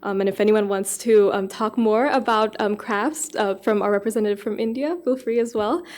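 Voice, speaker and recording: Medium-pitched woman moderating a session. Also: moderate pace, a little mellow. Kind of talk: spontaneous moderating of a panel discussion.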